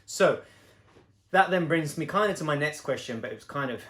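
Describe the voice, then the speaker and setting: A man's voice: on the high side, animated, fairly quick, a bit bright. Joking man interviewing in a roomy space. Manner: joking, playful banter. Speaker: host interviewing his partner. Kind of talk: casual interview chat. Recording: echoey room.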